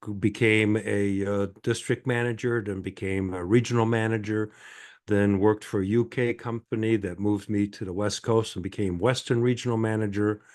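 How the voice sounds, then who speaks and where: An older man, answering as a guest in an interview in a halting, conversational way. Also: fairly low pitch, steady tone, darkish tone. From born in France, grew up in Michigan, United States. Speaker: podcast guest, sales and marketing consultant.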